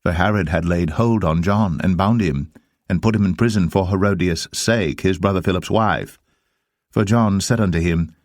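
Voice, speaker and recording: A man narrating dramatised scripture in a solemn, measured manner. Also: low voice, a little mellow, no echo, studio quality.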